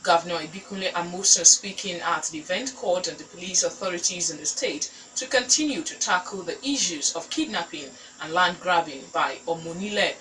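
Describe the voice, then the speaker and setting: Bright-voiced Nigerian woman newsreader. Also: lowish voice, brisk, hardly any pauses, recorded from a distance, a little hiss or hum, low-quality recording. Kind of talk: scripted news reading.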